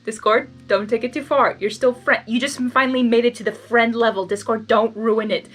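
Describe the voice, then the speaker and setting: A woman reading fan fiction aloud as host, narrating and breaking into casual, expressive reactions. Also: on the high side, brisk, faint music behind. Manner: expressive, animated asides.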